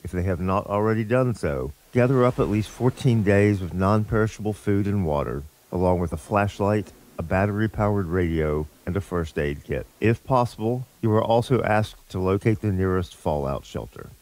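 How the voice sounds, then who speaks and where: A man playing a solemn government spokesman giving a grave, measured emergency address. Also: lowish voice, a little mellow, natural voice. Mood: grave, instructive.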